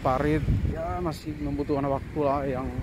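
A man's voice: fairly high pitch, steady tone, normal speed. A man vlogging as he walks beside a busy road at dusk, chatting about roadwork to his viewers. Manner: chatty, often adds "ya" and "teman-teman". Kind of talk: walking tour commentary. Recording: loud street traffic noise. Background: cars and motorcycles passing.

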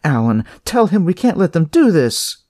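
Protective mother, acted by a man, audio storybook, high voice, frantic, indignant, insistent. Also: sing-song, normal speed, dark tone, no room sound, clean studio sound. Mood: alarmed, indignant.

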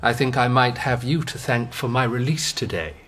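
Radio drama role: awkward young male poet, played by an actor, eager, halting, mid-pitched, faint noise. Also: fairly bright tone, close to an everyday voice. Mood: eager, flustered. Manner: hesitant, questioning.

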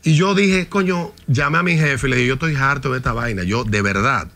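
Dominican guy ranting on a podcast, gravelly voice, swears a lot, studio mic. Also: medium pitch, a little fast, sharp tone. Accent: Dominican Spanish. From Dominican Republic. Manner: heated, profane storytelling. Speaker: podcast guest. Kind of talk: casual chat. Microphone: studio mic on stand.

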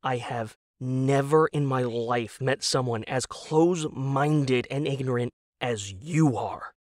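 A man's voice: mid-range voice, slow, a little mellow, no room sound, studio quality, close to an everyday voice. A man voicing an exasperated, scornful young wife in an audio drama, with a biting, emphatic and incredulous delivery.

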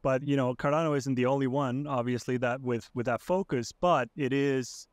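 A young man from Iceland speaks as a podcast guest from his home streaming setup. His mid-range voice comes through a headset on a video call, dry with no room sound. He talks in a halting way, full of fillers and restarts. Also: medium pitch, steady tone, no room sound. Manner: hesitant, many fillers and restarts. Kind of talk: casual interview chat.

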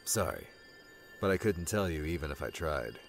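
A man's voice: rumbling low, lively intonation, normal speed, soft background music, voice pushed down. A man voicing a plain-spoken, scrappy adventurer in an audiobook, casual and a little wry. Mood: casual, reassuring. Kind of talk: audiobook character dialogue.

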